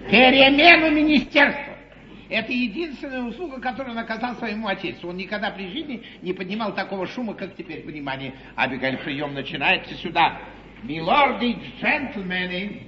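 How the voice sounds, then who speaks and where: An actor playing an eloquent, ironic English lord in a classical 1950s stage comedy, declaiming grandly. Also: male voice, high voice, lively intonation, normal speed. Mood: sly, proud. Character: eloquent, theatrical English lord, an opposition politician. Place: theatre stage. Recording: dull 1950s live theatre recording, echoey hall, hiss.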